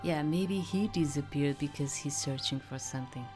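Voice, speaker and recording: A woman's voice: low-pitched, normal speed, a little mellow, faint music behind. A woman giving casual, rambling commentary as she plays a game, hesitant and often trailing off. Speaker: let's play host. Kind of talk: casual gameplay commentary with read-aloud dialogue.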